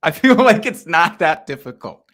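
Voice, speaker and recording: Nasal, lively, bright-voiced man. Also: fairly high pitch, normal speed. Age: 30s. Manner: dry, joking, understated. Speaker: guest. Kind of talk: casual chat.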